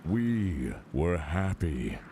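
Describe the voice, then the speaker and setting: Heartbroken game dad, super deep, slow solemn storytelling, music underneath. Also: male voice, sing-song, dark tone, a little hiss or hum, full character voice. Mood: wistful. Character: sorrowful abandoned father recalling lost love. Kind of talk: dramatic game character narration.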